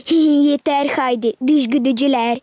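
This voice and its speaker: A woman voicing an inquisitive young girl in an animated cartoon. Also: high voice, measured pace, dry recording. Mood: curious. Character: young girl questioning her mother in a cartoon.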